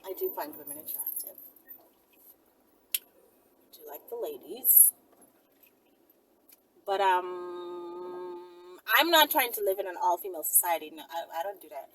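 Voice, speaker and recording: A woman's voice: on the low side, expressive, unhurried, pauses often, fairly bright tone, rough recording. Blunt, sassy woman chatting casually. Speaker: host answering viewer questions. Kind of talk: casual question-and-answer chat. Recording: faint background noise and room ambience.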